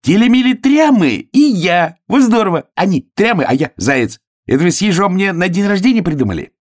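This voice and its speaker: Excitable high-pitched cartoonish hare. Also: male voice, takes it slowly, no echo, clean studio sound, exaggerated voice. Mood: delighted. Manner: blurting, exclamatory, easily astonished. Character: excitable, naive, boisterous young male hare.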